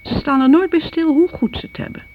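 A woman's voice: high voice, brisk, natural voice. An actress playing a middle-aged mother in a radio play, reminiscing in a chatty, confiding way, fond yet reproachful. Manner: chatty, confiding, seeking agreement. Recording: muffled old radio recording, faint hiss.

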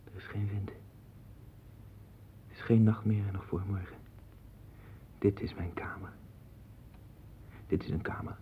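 A man's voice: on the low side, fairly even intonation, measured pace, pauses often, whispered, close to an everyday voice. An actor playing a restless, obsessive man in a 1960s Dutch radio play, with a Netherlands accent and a rambling, repetitive, insistent delivery. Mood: hushed, obsessive. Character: restless, obsessive man sinking into a strange inner world. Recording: tinny band-limited 1960s radio recording.

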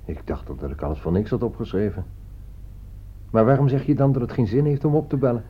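An actor playing a detached, philosophizing companion in a radio play, measured and matter-of-fact. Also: male voice, medium pitch, big pitch swings, breathy edge. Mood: dry, curious. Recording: dull lo-fi radio play recording.

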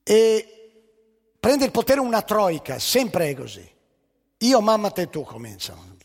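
Man, husky creaky voice, ironic theatrical monologue, emphatic delivery, live hall reverb. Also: high-pitched, very animated, measured pace. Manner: emphatic, ironic, conversational asides to the audience. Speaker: stage storyteller. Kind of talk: theatrical comic-satirical monologue on stage. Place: theatre stage.

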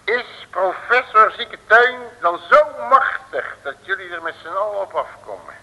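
A man playing a cheeky, unflappable detective in an old radio play, folksy and sardonic, with a gravelly voice. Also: high-pitched, animated, normal speed, bright, forceful tone, full character voice, low-quality recording. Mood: wry, unflappable. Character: cheeky, unflappable working-class male detective. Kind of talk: 1950s Dutch radio play acting.

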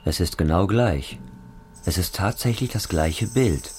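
An actor playing the investigator's sardonic friend, who makes wry, dry remarks. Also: male voice, deep voice, expressive, measured pace, a little mellow, slight background noise.

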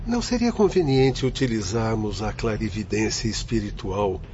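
A man's voice: on the low side, expressive, normal speed, a little mellow, close to an everyday voice. A man playing a formal, inquisitive doctor in a radio drama, speaking politely. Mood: courteous, curious. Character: courteous, inquisitive male doctor spirit. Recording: dull lo-fi with faint noise.

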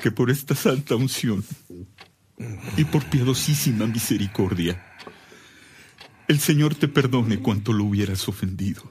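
A man's voice: mid-range voice, expressive, measured pace, long pauses, a little mellow, a little hiss or hum, close to an everyday voice. A man acting a solemn, grieving priest in a radio drama, with a ceremonial delivery. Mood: solemn, tender. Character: solemn grieving priest at his dying brother's bedside.

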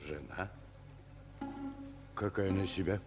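An actor playing a calm, plain-spoken Soviet junior commander in a radio play, laconic and unhurried. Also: male voice, low voice, music in the background. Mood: friendly, calm. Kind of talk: Russian radio play acting.

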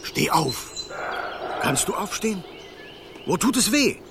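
An actor playing a bossy, grumpy but caring golden snake guide in a radio play, brusque and scolding. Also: male voice, high-pitched, very animated, slow pace, noisy background, exaggerated voice. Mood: concerned. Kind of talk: German radio play acting.

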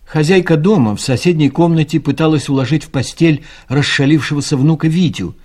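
A man narrating an audio play from a script in an expressive storytelling manner. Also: fairly high pitch, brisk.

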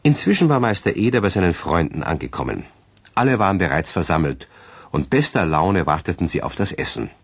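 A man with a husky voice playing the genial narrator of a children's radio play. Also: deep voice, very animated, a little fast, dry recording, full character voice. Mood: storytelling. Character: genial male storyteller of a children's tale.

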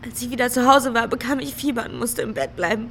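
An actress playing a desperate, frightened young prostitute on the run in a radio crime drama, emotionally raw and pleading. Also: female voice, a little high, big pitch swings, fast talker, background noise. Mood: subdued, wounded. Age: young adult.

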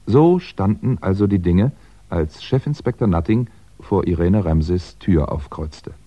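An actor playing a wry, articulate crime writer who speaks in a measured, precise way in a 1960s German radio play. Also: male voice, low voice, animated, mellow. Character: wry, articulate male crime writer narrating his own predicament. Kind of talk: 1960s German radio drama, first-person narration. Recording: muffled 1960s radio recording.

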